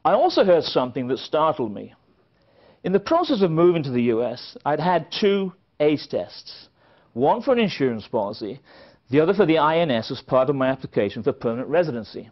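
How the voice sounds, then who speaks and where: A man's voice: a little high, sing-song, fairly quick. Wry man telling stories from a podium. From England. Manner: wry storytelling with dry asides. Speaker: conference speaker. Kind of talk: keynote speech at a podium. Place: conference hall with a seated audience. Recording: dull, lo-fi sound.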